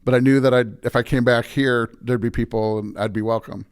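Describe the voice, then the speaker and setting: An American man who is a pastor, talking informally and a bit haltingly as a guest in a casual interview. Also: mid-range voice, fairly even intonation, clear, resonant tone.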